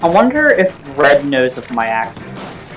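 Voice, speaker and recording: Slow-talking young man, halting. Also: on the high side, huge pitch swings, a bit bright, soft background music, low-quality recording. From United States. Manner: halting, filler-heavy speech. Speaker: co-player. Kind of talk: casual chat over gameplay.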